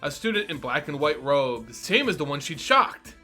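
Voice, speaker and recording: Male storyteller, bright forceful tone, theatrical scripted narration over music. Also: on the high side, moderate pace. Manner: theatrical, expressive. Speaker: host and storyteller. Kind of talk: dramatic scripted narration with channel intro. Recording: music under the voice. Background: background music.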